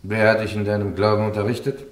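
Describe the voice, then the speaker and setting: An actor playing a stern bishop presiding over a heresy trial, speaking in a solemn, formal, commanding way. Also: male voice, fairly low pitch, flat delivery, fast, echoey room, lightly acted. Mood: firm. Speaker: presiding judge.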